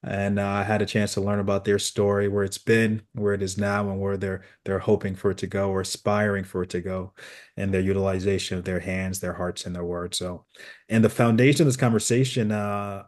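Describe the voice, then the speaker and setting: A man's voice: fairly low pitch, little pitch movement, a little fast. A man hosting an interview, talking in an earnest, encouraging way. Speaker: host. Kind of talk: conversational interview.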